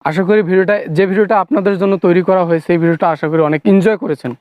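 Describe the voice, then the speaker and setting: Man, video creator, high smooth voice, channel outro delivery. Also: steady tone, rapid-fire, no room sound, phone-quality sound. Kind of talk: scripted channel outro to viewers.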